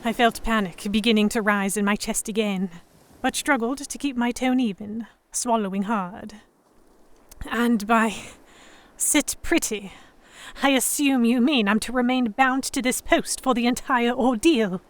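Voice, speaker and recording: A woman's voice: fairly high pitch, a little slow, lightly acted. A woman playing a defiant, sarcastic young Victorian English noblewoman held captive, narrating in formal first person for an audio drama. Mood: wry sarcasm. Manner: sardonic, defiant, formal diction. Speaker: narrator and lead voice actor.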